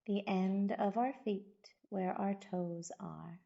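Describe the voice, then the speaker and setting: Slow, gravelly-edged woman guiding meditation. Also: medium pitch, fairly even intonation, dull audio. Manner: soothing, unhurried, gentle instruction with long pauses. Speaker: yoga and meditation guide. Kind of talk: guided body scan meditation.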